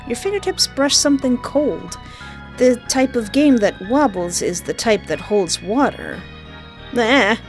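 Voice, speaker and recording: A woman reading a visual novel aloud and breaking in with sarcastic jokes and squeals of mock panic. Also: medium pitch. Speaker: let's play gamer.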